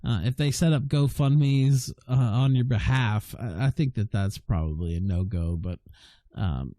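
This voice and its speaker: A man's voice: medium pitch, unhurried, mellow, dry recording. A man hosting a livestream interview in an informal, sweary way, reading out viewer questions and chatting casually. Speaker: livestream show host.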